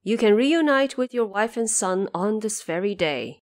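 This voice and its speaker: A woman's voice: mid-range voice, expressive, a little slow, lightly acted. Majestic Dragon King performed by a woman, courteous, generous and formal, for a mythic audio drama. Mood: gracious celebration. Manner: stately, formal.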